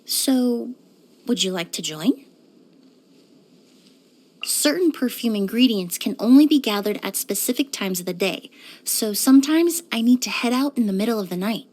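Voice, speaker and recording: High-voiced, courteous young perfumer woman. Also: lively intonation. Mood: calm, thoughtful. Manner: polite, measured, softly formal. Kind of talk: scripted video game character lines.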